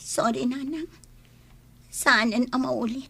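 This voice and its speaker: A woman's voice: high-pitched, slow pace, vocal fry, sharp tone, slightly rough, full character voice. A woman acting a meek, downcast young mother in an audio drama. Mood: meek, sorrowful. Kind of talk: quiet audio drama dialogue.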